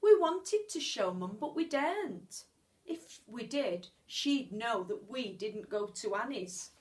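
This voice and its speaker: A woman reads a children's storybook aloud in a fairly high voice. Her pace is very slow, with expressive phrasing, drawn-out words and deliberate pauses, as a teacher would read to a class.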